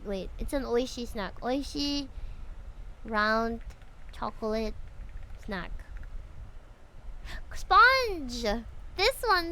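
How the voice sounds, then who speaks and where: A woman's voice: high voice, sharp tone, a little hiss or hum. A woman chatting casually and playfully with viewers on a game livestream. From Philippines. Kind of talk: casual game-stream chat and reading dialogue aloud.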